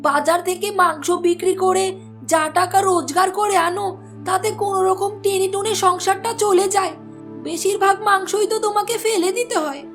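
A woman's voice: extremely high pitch, normal speed, faint music behind. A woman voicing a nagging, scornful wife in a cartoon who scolds her husband. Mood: scolding. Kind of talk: cartoon voice acting.

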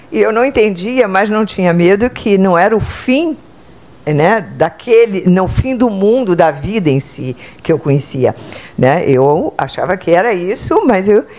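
An older Brazilian woman, a psychotherapist, giving a spiritual lecture standing in an auditorium, talking into a handheld microphone and often checking in with her listeners. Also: mid-range voice. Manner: conversational, checks in with "entende?" and "né?"; speaks with lively hand gestures, standing. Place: club auditorium, indoors.

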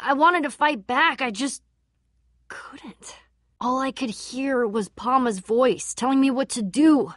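A woman playing a feisty, sardonic young warrior in a video game, with a wry, expressive delivery. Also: sits high, slow pace, no echo, studio quality. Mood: remorseful. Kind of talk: animated game voice acting.

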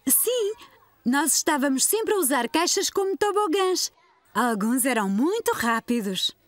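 A woman's voice: high voice, big pitch swings, unhurried, dry recording. A woman voicing a kind, encouraging young preschool teacher in a children's cartoon, with a European Portuguese accent. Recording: music behind the voice.

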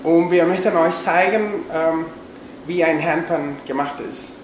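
A man's voice: on the high side, unhurried. A man in his forties with a Chilean Spanish accent explains a craft in hesitant, non-native phrasing. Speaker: instrument maker and musician. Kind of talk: explaining a craft process to camera. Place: indoor production workshop. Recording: echoey workshop with slight noise.

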